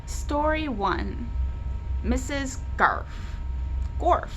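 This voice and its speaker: A woman hosting a library book club, reading a children's storybook aloud with deliberate, animated emphasis. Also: high-pitched, slight background noise.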